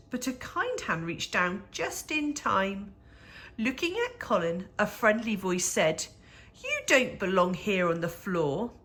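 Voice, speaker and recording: A woman reading a rhyming picture book aloud for young children, with measured, expressive storytelling and dramatic emphasis. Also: a little high.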